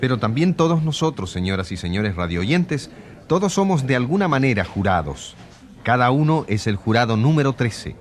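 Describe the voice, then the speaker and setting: An actor playing a solemn radio announcer who narrates a trial in scripted radio drama style. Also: male voice, mid-range voice, very animated, normal speed, natural voice.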